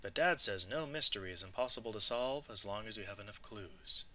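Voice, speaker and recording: A man narrating an educational storybook read-aloud, with expressive, clearly emphasised delivery. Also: lowish voice, brisk.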